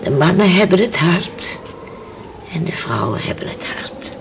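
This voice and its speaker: An actress playing a weathered, resigned fisherman's widow in a radio play, telling her story haltingly in hushed tones. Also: lowish voice, very animated, whispered. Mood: resigned, grave. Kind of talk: Dutch radio play acting. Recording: noisy old radio play recording.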